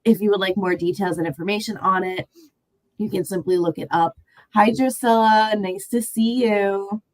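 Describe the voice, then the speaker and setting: A woman's voice: mid-range voice, moderate pace. A woman nutrition educator giving an online class, upbeat and chatty, sounding a little nasal and congested after laryngitis. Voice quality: slightly nasal. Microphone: video call.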